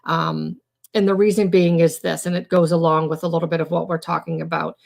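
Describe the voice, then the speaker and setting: A woman with a low, hoarse, gravelly voice hosts a casual live stream as a trauma coach. She rambles through her points, full of ums and restarts, sounding worn out from days of recording. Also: level tone, fairly quick, a little mellow. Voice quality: raspy. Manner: rambling, many fillers and restarts. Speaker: trauma coach hosting a weekly live show. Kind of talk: informal live-stream teaching.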